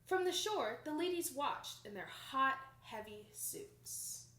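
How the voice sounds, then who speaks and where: A young adult woman reading a picture book aloud to children in an expressive, emphatic storytelling manner. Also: high-pitched, slow. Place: small plain indoor room. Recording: roomy indoor echo.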